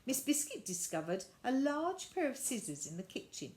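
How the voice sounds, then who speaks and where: A woman reading a children's story aloud, with deliberate, expressive narration. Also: high-pitched.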